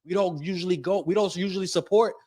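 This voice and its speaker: Man, co-host, casual discussion, hesitant restarts, slightly high pitch. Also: quick pace, a little mellow, slightly rough. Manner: hesitant, restarting, reasoning aloud. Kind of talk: casual talk-show discussion.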